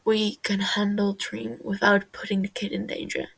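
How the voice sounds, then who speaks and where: A woman voicing a gruff, protective man in an audio story, curt and exasperated. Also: medium pitch, monotone, normal speed, a bit bright, low-quality recording.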